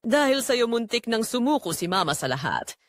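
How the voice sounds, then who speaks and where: A woman voicing a furious, wounded young woman in an audio drama, with a sharp, emphatic delivery. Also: fairly high pitch, very animated, moderate pace, no echo, studio quality, pitched above the performer's own voice. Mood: accusing, furious. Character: furious, wounded young woman confronting her father's mistress.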